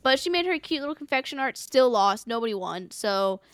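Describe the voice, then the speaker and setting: A woman co-hosting a casual anime recap chat, expressive and blunt, swearing freely in slangy talk. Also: a little high, brisk, no room sound. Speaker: podcast co-host recapping an anime.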